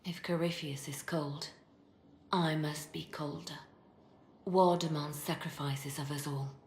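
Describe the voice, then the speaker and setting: A grave, steely female spymaster in a video game, weighed down by guilt. Her voice is low and measured, in an echoey space with faint noise. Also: steady tone. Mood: hardened resolve. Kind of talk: scripted video game dialogue.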